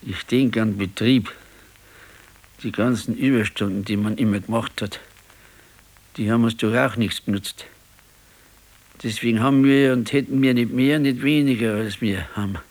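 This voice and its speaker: Radio play: ailing old Bavarian man acted by a male performer, brooding and resigned, plain halting speech, medium pitch. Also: darkish tone, natural voice. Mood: brooding, regretful. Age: older. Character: ailing, weary, brooding old retired husband. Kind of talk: naturalistic 1970s radio drama acting.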